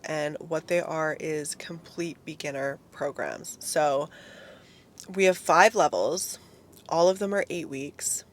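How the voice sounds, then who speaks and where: A young woman vlogging about fitness in a casual, chatty way, swearing freely, her voice hoarse because she is losing it. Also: lowish voice. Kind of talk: casual solo talk to camera.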